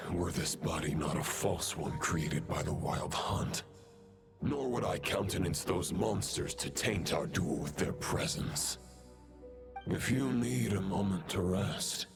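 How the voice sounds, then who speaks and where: An actor playing a proud, grim fallen knight in a video game, with grandiose, formal and archaic speech. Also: male voice, deep voice, vocal fry, heavily acted. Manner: grandiose, formal, slow declamation. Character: grim, proud fallen knight serving dark powers, solemn male. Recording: music behind the voice. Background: game music.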